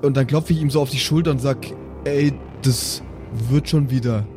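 A man's voice: medium pitch, steady tone, moderate pace, mellow, faint music behind. A man playing in an improvised tabletop audio play, bantering casually in slang and stumbling over his words. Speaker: tabletop player.

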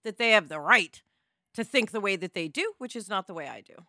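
A woman's voice: medium pitch, animated, fast, bright, forceful tone, dry recording, studio quality. An American woman co-hosting a podcast in a casual, conversational style. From United States. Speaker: podcast co-host.

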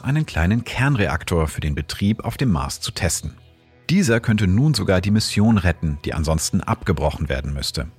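A man hosting and narrating scripted science explanations in a calm, measured way. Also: low-pitched, husky.

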